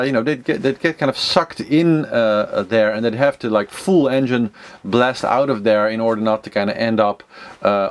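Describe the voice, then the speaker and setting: A man in his thirties hosting an informal how-to vlog, thinking aloud in a hesitant, rambling way as he explains things to camera. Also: mid-range voice. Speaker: sailing instructor and series host. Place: small wood-lined sailboat cabin, indoors.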